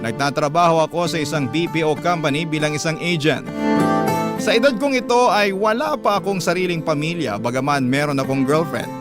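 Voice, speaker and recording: Casting: male actor as earnest thirties Filipino letter-writer, first-person drama narration, higher-than-average pitch, prominent music bed. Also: brisk, natural voice. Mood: earnest, reflective. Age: 30s. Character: earnest thirty-year-old call-centre agent recounting painful childhood. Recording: loud guitar music bed underneath.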